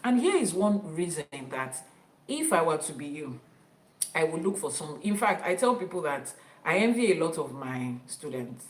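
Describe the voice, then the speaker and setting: A Nigerian-accented woman, a native Yoruba speaker, teaches her online followers with firm emphasis and frequent repetition. Her voice sits somewhat low for a woman. The room around her adds a noticeable echo. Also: very animated, normal speed. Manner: emphatic, repeats key phrases for stress. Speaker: Ifa priestess and teacher. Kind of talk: teaching talk to an online audience.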